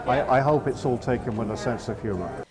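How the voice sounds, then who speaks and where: A man's voice: medium pitch, fairly quick, background noise. A middle-aged man from England with a southeast English accent, an artist speaking casually in an interview, hesitant with many fillers and restarts. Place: outdoors in a town.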